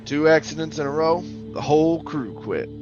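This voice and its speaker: A man's voice: fairly high pitch, lively intonation, normal speed, muffled recording, music in the background, close to an everyday voice. A man playing a jittery, haunted oil rig worker telling his suspense story in colloquial, stammering old-time radio style. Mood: uneasy recounting. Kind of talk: old-time radio drama narration, first-person suspense.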